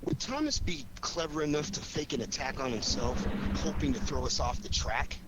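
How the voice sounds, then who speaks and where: An actor playing a wry, confident, commanding amateur detective in a 1940s radio crime drama. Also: male voice, medium pitch, lightly acted. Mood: pensive, wry. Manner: wry, quick-witted, commanding. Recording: noisy old 1940s radio recording.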